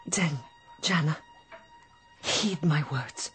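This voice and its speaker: An actress playing a dutiful, protective robot housemaid with a measured, formal manner in a radio drama. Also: female voice, low voice, fairly bright tone, soft background music, full character voice. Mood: grave, urging.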